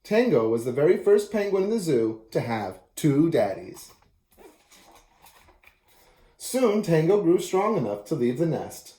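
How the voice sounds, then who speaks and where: A man reading a children's picture book aloud as an expressive storyteller, in an echoey room. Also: on the high side, unhurried, long pauses.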